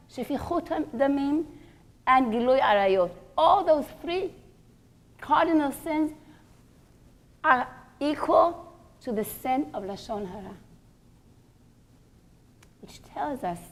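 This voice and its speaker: A woman giving a religious lecture, teaching emphatically, repeating key phrases and posing rhetorical questions. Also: high voice.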